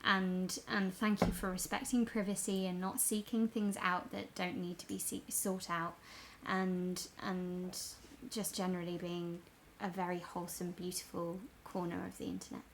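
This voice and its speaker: A woman streamer in casual chat, effusive but halting as she gathers her words. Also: lowish voice, level tone, slight background noise, poor audio. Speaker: video game streamer.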